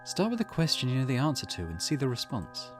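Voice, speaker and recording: Dude voicing calm schoolgirl in a spooky game, bossing the ritual along, lively intonation, music under it. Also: mid-range voice, fast talker. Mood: calm instructing. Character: composed teenage schoolgirl leading an occult ritual. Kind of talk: video game dialogue read aloud.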